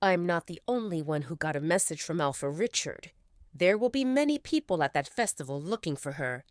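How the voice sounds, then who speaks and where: A woman voicing a calm, persuasive male alpha of a rival pack who talks in a measured, reasoning way. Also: lowish voice, dry recording, studio quality, lightly acted.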